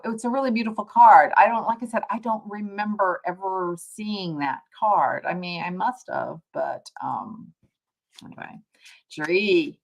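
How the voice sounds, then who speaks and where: A woman's voice: fairly low pitch, creaky. A woman hosting a casual live tarot reading, talking to viewers in a conversational, reflective way and rephrasing as she explains. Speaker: tarot reader, livestream host.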